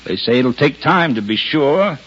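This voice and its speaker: Animated, husky soft-hearted police lieutenant. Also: male voice, medium pitch, moderate pace. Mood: urgent, earnest. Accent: American. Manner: emphatic, colloquial, exasperated. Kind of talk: 1950s American radio detective drama acting. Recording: muffled vintage radio recording.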